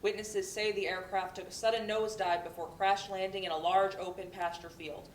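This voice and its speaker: A woman's voice: mid-range voice, fairly even intonation, brisk, close to an everyday voice. Woman acting a formal radio newsreader, echoey. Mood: formal, urgent. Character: composed, formal female radio news bulletin anchor. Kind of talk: acted news bulletin in a play reading. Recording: echoey distant mic, faint noise.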